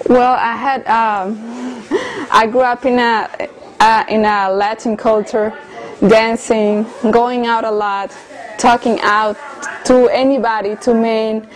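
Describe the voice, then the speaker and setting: A woman of around thirty from Colombia, speaking English, earnestly telling her personal story as an interviewee with soft hesitations. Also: a little high, little pitch movement, slow, rough recording. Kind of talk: personal testimony in conversation.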